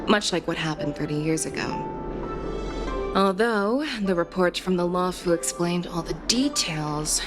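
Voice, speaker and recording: A woman playing a self-possessed veteran general in a video game, speaking in a measured, formal, matter-of-fact manner. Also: on the low side, close to an everyday voice. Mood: grave, confiding. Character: self-possessed female war general, candid, dutiful veteran. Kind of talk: scripted video game voice acting. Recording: music behind the voice.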